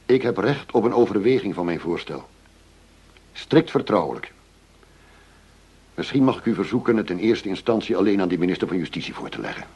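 A husky, low-voiced, driven police inspector. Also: male voice, animated, a little mellow, heavily acted. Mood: determined, insistent. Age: middle-aged. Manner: formal, insistent, measured. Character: earnest, driven 45-year-old male police chief inspector. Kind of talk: radio drama acting.

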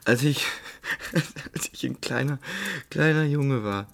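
A man's voice: mid-range voice, slow, gravelly, fairly bright tone. An actor playing an intoxicated singer in a radio play, stammering and repeating words as he confides giddily. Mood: dreamy, rapt. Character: young intoxicated singer, giggly, confiding, enraptured storyteller. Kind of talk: German radio play acting.

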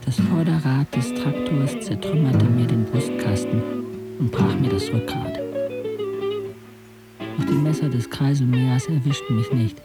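A man's voice: medium pitch, measured pace, close to an everyday voice, low-quality recording. Radio drama lead: a man playing a brooding, sardonic young narrator, inward-looking, medium register, music underneath. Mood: wry, detached. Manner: introspective, sardonic, literary phrasing. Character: brooding, disillusioned teenage boy narrating his own life.

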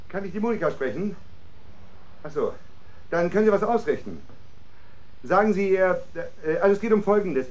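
A scheming husband in a naturalistic radio drama. His voice sits fairly high for a man and swings widely in pitch. He is irritable and insistent, always pressing. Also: lo-fi sound. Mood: urgent, persuasive. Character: agitated scheming husband plotting against his wife.

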